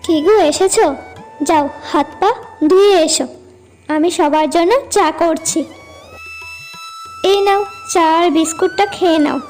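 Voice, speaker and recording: A woman voicing a gentle, caring young village housewife in a cartoon, with a soothing, attentive manner. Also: extremely high pitch, unhurried, long pauses. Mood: reassuring. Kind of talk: cartoon dialogue acting. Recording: music bed behind voice.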